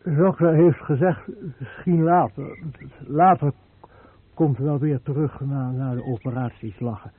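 An actor playing a lonely, badly burned crash patient who stammers in short, repeated fragments in a radio drama. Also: male voice, mid-range voice, fairly even intonation, unhurried, a little hiss or hum, lightly acted. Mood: resigned. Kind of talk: Dutch radio drama acting. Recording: thin phone-like band, muffled radio recording.

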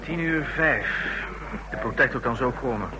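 An actor in an old radio play, playing an uneasy state official. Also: male voice, mid-range voice, a little slow, noisy background, lightly acted. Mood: apprehensive. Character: uneasy official awaiting his leader. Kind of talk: mid-century radio play acting. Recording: old radio recording, crowd behind.

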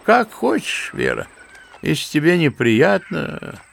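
An actor playing an old, kindly, sentimental general who speaks fervently and fondly, in a radio play. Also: male voice, fairly high pitch, wildly swooping pitch, slow pace, gravelly, fairly bright tone, a little hiss or hum, full character voice. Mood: gentle. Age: older. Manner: fervent, musing, fond and paternal. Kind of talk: theatrical radio play acting.

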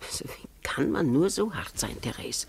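An actress playing a fussing, doting elderly mother in a German radio play, with a husky voice. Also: sits low, big pitch swings, normal speed, in a whisper, heavily acted. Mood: reproachful. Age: older. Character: fussing, doting elderly mother, reproachful yet soft-hearted.

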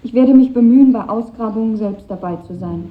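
Through a narrow, phone-like vintage radio recording comes a high-voiced, earnest schoolgirl, played by an actress. She speaks precisely and idealistically, as in a 1950s radio drama. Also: brisk, a little hiss or hum, lightly acted. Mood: earnest, eager. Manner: earnest, precise reading aloud of an essay. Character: earnest, idealistic schoolgirl in her final year.

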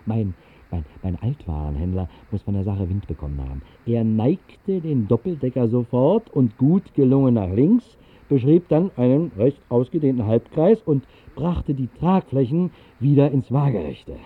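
A whimsical male storyteller in a 1960s literary radio play. His delivery is wry and conversational, swinging widely in pitch around an ordinary male register, with musing asides. The vintage recording sounds a little dull. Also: very animated, moderate pace, breathy edge. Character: whimsical man recounting a fantastical night flight over the city. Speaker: first-person narrator of a radio play.

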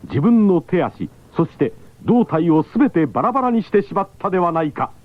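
A man's voice: high-pitched, sing-song, fairly quick. A man narrating a 1970s radio drama with emphatic, exclamatory storytelling. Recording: tinny 1970s radio broadcast.

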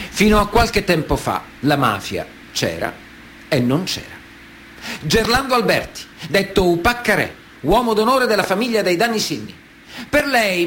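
An actor playing a stern, ironic chronicler, narrating a radio drama in clipped phrases with dramatic pauses. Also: male voice, on the high side, fairly bright tone, a little hiss or hum.

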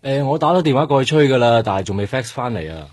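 A man's voice: mid-range voice, expressive, racing, no room sound, close to an everyday voice. An actor playing an eager, chatty young male police detective, speaking Hong Kong Cantonese in a radio drama. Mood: reporting. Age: young adult.

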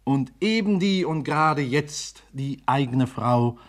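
A man's voice: mid-range voice, expressive, takes it slowly. A man reading scripted narration that introduces a radio drama.

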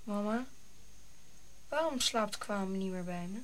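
A woman's voice: medium pitch, expressive, takes it slowly. A woman playing an anxious, curious young African boy in a radio drama, with a simple childlike way of questioning.

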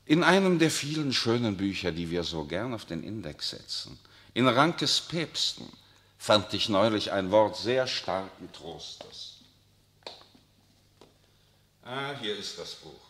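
A worldly papal nuncio in a 1960s radio drama. His low voice moves a lot and rings bright. He speaks in a suave, ironic, lecturing way. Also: sing-song, takes it slowly, heavily acted. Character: urbane worldly male papal nuncio, middle-aged. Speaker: actor in a radio play.

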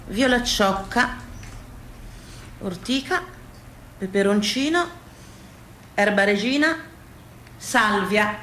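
A woman with a Tuscan accent performing a theatrical autobiographical monologue in an expressive, colloquial way. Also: mid-range voice, a little hiss or hum. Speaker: storyteller and stage performer. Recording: roomy, echoing live performance.

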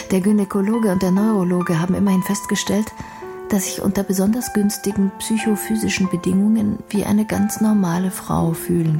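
A woman's voice: on the low side, gravelly. An actress playing a blunt, earnest young intellectual woman in a radio crime drama, speaking precisely and formally. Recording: music playing behind the voice.

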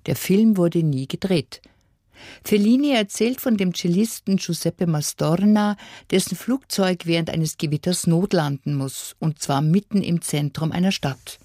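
A woman's voice: fairly low pitch, darkish tone, no echo, studio quality. A woman presenting a scripted programme preview on the radio.

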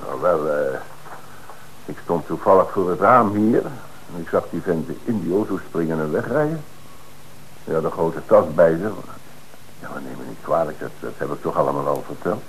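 A flustered bank director, caught in a lie, speaks in a low, deep voice that stumbles and repeats itself. Faint hiss runs under this old radio drama recording. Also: male voice, expressive, normal speed, rough recording. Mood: defensive. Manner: stammering, evasive, defensive. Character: flustered middle-aged male bank director caught lying.

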